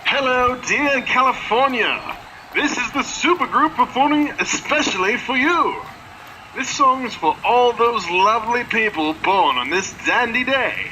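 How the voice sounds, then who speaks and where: A man's voice: very high-pitched, expressive, measured pace, background noise. A man playing a bossy alien captain who poses as a pop band frontman in an audio drama. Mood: showy. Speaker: actor in amateur audio drama. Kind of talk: theatrical audio drama acting.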